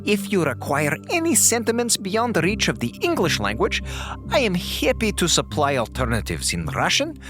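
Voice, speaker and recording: A man's voice: high voice, huge pitch swings, moderate pace, music in the background. An actor playing a formal, pedantic male doctor on a space station in an audio drama, speaking precisely. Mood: dry indignation.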